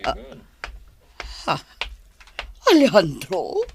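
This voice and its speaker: A woman's voice: lowish voice, huge pitch swings, extremely slow, gravelly, darkish tone, exaggerated voice. A woman playing a hurt, bitter middle-aged teacher and estranged wife in a Filipino radio drama, with an emotional, reproachful delivery. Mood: polite, uneasy.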